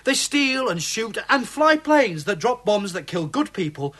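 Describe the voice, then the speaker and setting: An actor playing a skeptical police inspector in a radio play, clipped and dismissive. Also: male voice, high-pitched, big pitch swings, unhurried, a bit bright, exaggerated voice. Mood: heated. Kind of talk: radio drama acting.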